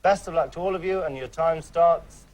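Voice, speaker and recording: A man's voice: a little high, moderate pace, lo-fi sound, heavy background noise. A man hosting a TV game show in a showy, theatrical way, calling out contestants' names. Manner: hammy, theatrical, shouting names. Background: studio music.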